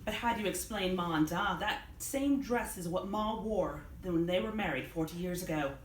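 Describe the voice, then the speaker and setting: A woman's voice: lowish voice, normal speed, voice pushed up, lightly acted. Woman reading as an exasperated bridesmaid, roomy sound. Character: fuming, dry maid of honor, young woman. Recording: roomy live reading, background noise.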